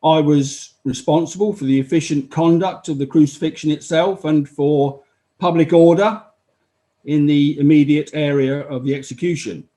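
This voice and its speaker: A man's voice: a little high, warm tone, lightly acted. A man acting as a matter-of-fact Roman army officer who gives unemotional, explanatory testimony in a dramatized inquiry. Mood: matter-of-fact, official.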